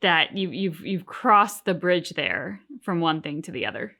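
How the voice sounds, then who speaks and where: A woman hosting a reaction show, discussing things casually and analytically with emphatic, paused phrasing. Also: lowish voice, sing-song, bright, forceful tone. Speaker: reaction channel host.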